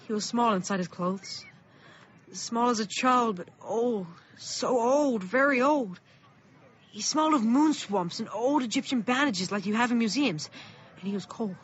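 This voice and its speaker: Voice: mid-range voice, a bit bright, lightly acted. A performer playing an earnest, anxious thirteen-year-old small-town boy, urgent in manner, in a radio drama. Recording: muffled, noisy radio play recording.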